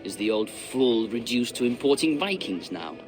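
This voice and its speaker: An actor playing a mocking, defiant seventeen-year-old prince in a video game. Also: male voice, mid-range voice, sing-song, moderate pace, music in the background, close to an everyday voice. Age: teens.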